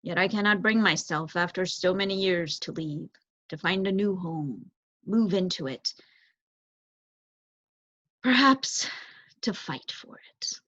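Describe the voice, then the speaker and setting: A wise old male owl from a children's story, voiced by a woman reader, speaks in grave, measured sentences. He sounds solemn and resigned. The recording is dry and slightly dull. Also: fairly low pitch, animated, a bit bright, no echo, exaggerated voice. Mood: melancholy, resigned. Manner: formal, measured, grave phrasing. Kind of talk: children's storybook read aloud.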